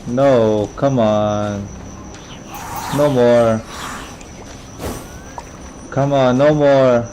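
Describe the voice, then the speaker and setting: Slow-paced casual game streamer. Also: mid-range voice, steady tone, warm tone, slight background noise. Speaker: live streamer playing a game. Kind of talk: casual gameplay commentary. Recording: game music behind the voice.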